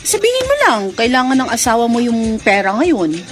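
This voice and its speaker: A bubbly, gossipy Filipina friend from work in radio soap style. Her voice is highish and very animated, teasing and exclamatory in a Filipino accent, over faint music. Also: normal speed. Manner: playful, exclamatory. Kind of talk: radio drama acting. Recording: radio drama with music behind.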